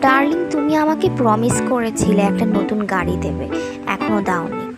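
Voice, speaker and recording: A woman's voice: on the high side, animated, fairly quick, lightly acted. A woman playing a vain, demanding young mistress in a cartoon story, whining and boastful. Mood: jealous, boastful. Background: music.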